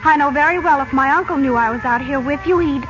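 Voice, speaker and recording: Woman playing a high-voiced, eager American teenage girl. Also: fast talker, over music, natural voice. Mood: anxious, insistent. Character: American teenage girl, eager, naive, anxious daughter seeking her father. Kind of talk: 1940s radio drama acting. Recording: muffled, noisy old radio recording.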